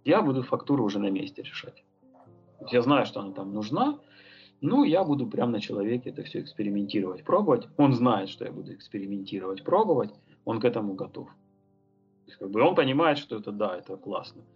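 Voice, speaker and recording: A man's voice: lowish voice, very animated, quick pace, lo-fi sound, soft background music. A man talking as a podcast guest, thinking aloud about his tattoo and painting work, rambling with many fillers and hesitations. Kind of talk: casual podcast conversation.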